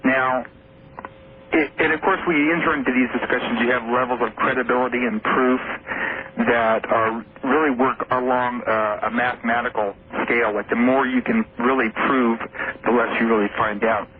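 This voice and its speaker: A man calling in to a radio show, rambling and halting, often trailing off and starting over. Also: mid-range voice, fairly even intonation, noisy background. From Seattle area, USA. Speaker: caller. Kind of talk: rambling phone-in call. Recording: tinny phone line on an old radio broadcast.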